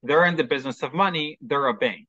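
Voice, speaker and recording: A man's voice: a little high, fast talker, sharp tone, no room sound, highs cut off. A man from Chicago with a Chicago American accent, a mortgage specialist guest explaining step by step with frequent ums. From Chicago, USA. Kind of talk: explanatory interview talk.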